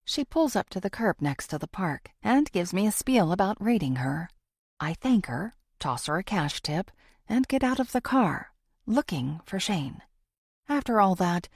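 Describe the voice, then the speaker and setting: Gravelly, expressive woman narrating a thriller. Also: lowish voice, no room sound, studio quality. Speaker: audiobook narrator. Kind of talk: scripted first-person thriller narration.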